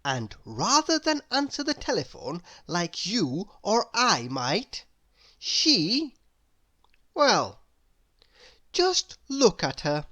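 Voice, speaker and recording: Slow, very animated woman storyteller. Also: medium pitch. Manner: addresses young listeners, dramatic pauses. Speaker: storyteller reading aloud. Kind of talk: expressive children's read-aloud.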